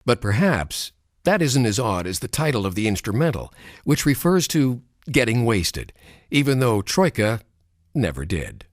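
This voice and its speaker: A man reads a scripted documentary narration in a studio booth. His pitch rises and falls with a lot of movement, and his delivery is measured and expressive. The sound is close and dry, with no room echo. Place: recording studio. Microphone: studio microphone.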